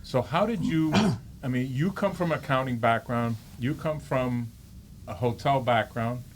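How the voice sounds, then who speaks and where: A man's voice: mid-range voice, a little slow, slight background noise. Guy hosting a business interview, friendly, cracks jokes. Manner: friendly, joking. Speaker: host.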